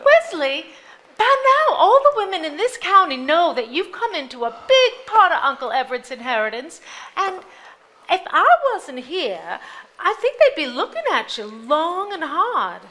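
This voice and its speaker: Woman playing jealous wife in a stage play, super high sharp voice, swoopy, pushy n teasing. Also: sing-song, moderate pace, heavily acted. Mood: insistent. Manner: insistent, pointed, teasing. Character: jealous, probing wife testing her husband. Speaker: stage actor. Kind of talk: live stage drama. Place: theater stage before a live audience.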